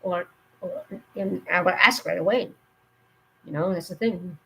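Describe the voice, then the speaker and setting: A woman with a cold, her voice going hoarse, giving earnest safety warnings in a rambling, casual live stream. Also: on the low side, unhurried, pushed and bright, poor audio.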